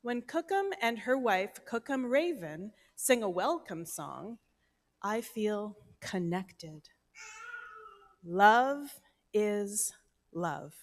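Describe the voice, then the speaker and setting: A woman reading a picture book aloud to children at a church service, gentle and expressive. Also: medium pitch, extremely slow. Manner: gentle, expressive storybook delivery. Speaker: children's story reader. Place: church sanctuary, no microphone at first.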